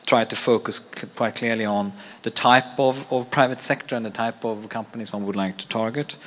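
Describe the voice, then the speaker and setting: A man's voice: lowish voice, a bit bright. A man giving an informal readout at a meeting, a little tentative and repeating words as he goes. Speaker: roundtable participant reporting back. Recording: clear background room noise.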